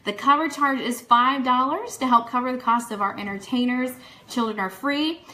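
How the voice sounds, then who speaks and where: Woman, radio show host, fairly high pitch, very bright forward tone, upbeat, chatty, enthusiastic announcements addressed to listeners. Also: normal speed. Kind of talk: live online fan news broadcast, chatty announcements. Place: studio.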